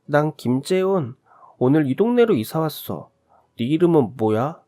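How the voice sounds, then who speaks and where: A friendly, eager young boy with a high, lifted voice, as a male narrator puts him on. He sounds polite and keen to make a new friend, like a storybook neighbor kid. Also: sing-song, a little slow, warm tone, faint music behind.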